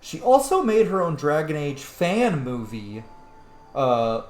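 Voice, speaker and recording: A man's voice: on the high side, very animated, takes it slowly, darkish tone, roomy sound, soft background music. A man giving casual, playful game commentary as host, reacting aloud with asides. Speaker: gaming video host and player.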